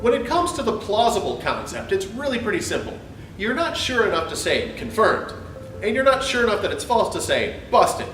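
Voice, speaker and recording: A man's voice: high voice, sing-song, moderate pace, fairly bright tone, recorded from a distance. A man giving an emphatic, sarcastic dramatic reading of an argumentative script. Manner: theatrical, emphatic, sarcastic delivery. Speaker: host reading his own essay. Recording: roomy, off-mic sound with background music.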